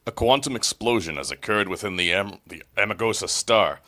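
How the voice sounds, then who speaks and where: A man's voice: fairly low pitch, lively intonation, fairly quick, sharp tone. A man playing a serious starship officer giving formal, clipped reports in a sci-fi radio theatre read.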